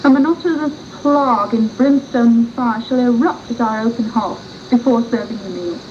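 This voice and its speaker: A woman reads as a pious elder of doom in a radio story, solemn and portentous. The voice is mellow, on a muffled old tape. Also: on the high side, moderate pace, a little hiss or hum, natural voice. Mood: solemn foreboding.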